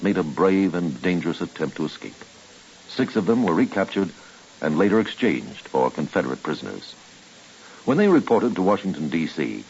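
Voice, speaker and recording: Very deep, dramatic American male announcer. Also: darkish tone. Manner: solemn, dramatic, deliberate phrasing. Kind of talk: scripted patriotic narration. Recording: dull, hissy old radio recording.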